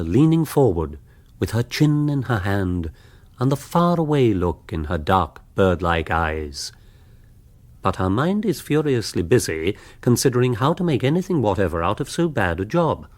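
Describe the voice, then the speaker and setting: The narrator of a radio social comedy. His voice is fairly deep, and he tells the tale with urbane, wry wit and a very varied melody. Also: a little mellow. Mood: mock-grave. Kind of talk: scripted radio drama narration.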